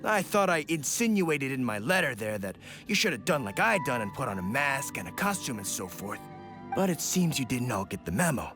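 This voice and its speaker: An actor playing a hapless young wannabe thief in a video game, with folksy rustic phrasing and a hesitant, stammering manner. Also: male voice, mid-range voice, very animated, a little fast, music in the background, close to an everyday voice. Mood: cocky.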